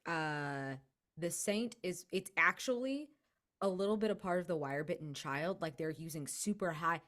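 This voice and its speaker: A woman with a slightly low voice plays a tabletop game. She speaks in an informal, slangy way with a wry edge, chatting casually as she explains her ideas. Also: expressive, normal speed, fairly bright tone.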